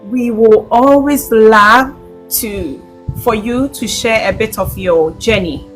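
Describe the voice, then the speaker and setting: A woman hosts the interview, asking her questions in an eager, chatty way and jumping in quickly. Music plays clearly behind her voice the whole time. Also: a little high, a bit bright. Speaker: host.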